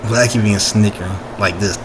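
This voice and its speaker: A man reading his own story informally, haltingly, with asides and frequent rereading to correct himself. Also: lowish voice, steady tone, noisy background.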